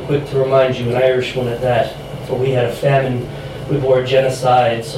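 Young male poet with a chest-infection voice, even delivery, distant mic amid room noise. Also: medium pitch, heavy reverb. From United States. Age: young adult. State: getting over a chest infection, voice going. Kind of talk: reading own poem aloud at a live event.

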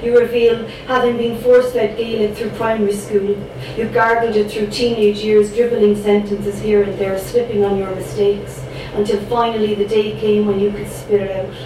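A young woman with a Cork accent performs her poems in a rhythmic, dramatic style, with casual chatty asides between them. Her voice sits at a middle pitch. It echoes heavily through the room, picked up from a distance with noise behind it. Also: flat delivery, normal speed, few pauses. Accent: Irish, Cork. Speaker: spoken word poet. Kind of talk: spoken word poetry performance.

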